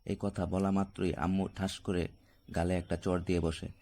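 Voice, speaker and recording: A man playing a naive grown boy in a cartoon, with a deep voice. He talks colloquially and plaintively, like a son grumbling to a friend. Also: level tone, moderate pace, darkish tone. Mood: aggrieved. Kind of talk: casual cartoon dialogue.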